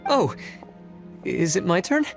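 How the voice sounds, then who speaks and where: An actor playing a timid, awkward civil servant in an anime game, hesitant, halting and overly precise. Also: male voice, high-pitched, sing-song, gravelly, over music, exaggerated voice. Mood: nervous.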